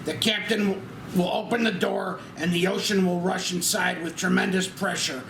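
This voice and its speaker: A man's voice: high voice, steady tone, moderate pace, gravelly, a bit bright. A man playing an earnest, bossy airline crewman in a spoof screenplay, giving instructions emphatically at a table read. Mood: urgent instructing. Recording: noisy, echoey room.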